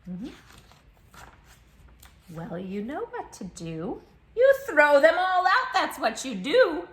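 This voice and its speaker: A woman reading a picture book aloud to children in an expressive, affectionate, playful way as a storytime host. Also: high voice, slow pace. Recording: roomy echo, faint music behind. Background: faint music.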